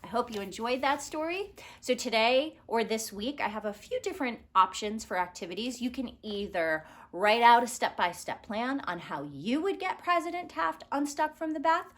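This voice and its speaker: A woman reads aloud for children in a teacher's explanatory manner. Her voice is high and bright, with very animated intonation that rises and dips expressively. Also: moderate pace, hardly any pauses. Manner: explanatory, addressing young listeners. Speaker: teacher reading a picture book aloud.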